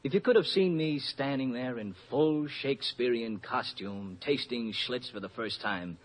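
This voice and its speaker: A man's voice: mid-range voice, measured pace, close to an everyday voice. An actor playing a bashful, clumsy young amateur actor who tells his story in a hesitant, mock-formal way for a 1950s radio commercial. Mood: sheepish. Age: young adult. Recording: muffled old radio recording.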